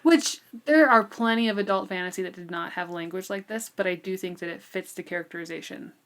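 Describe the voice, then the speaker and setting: A woman's voice: fairly low pitch, brisk. Woman chatting casually on a podcast. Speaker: podcast co-host. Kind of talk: casual book discussion.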